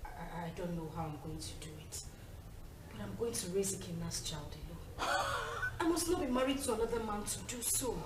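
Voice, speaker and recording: A woman's voice: medium pitch, animated, brisk, noisy background, natural voice. A woman acting as a troubled, stubborn young expectant mother in a film drama, disbelieving and defiant, with a Nigerian accent. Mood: quiet resolve.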